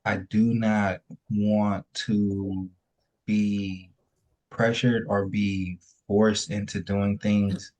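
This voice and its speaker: A man in his thirties, a dating show guest, speaking in a measured, reflective way. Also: fairly low pitch, flat delivery, darkish tone, poor audio. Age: 30s.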